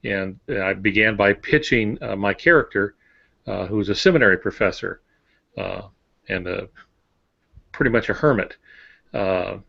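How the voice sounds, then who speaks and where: A man's voice: fairly low pitch, moderate pace, vocal fry, pauses often, husky. A man giving casual, instructive writing advice on a podcast, explaining things in a wry way. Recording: dull, band-limited audio.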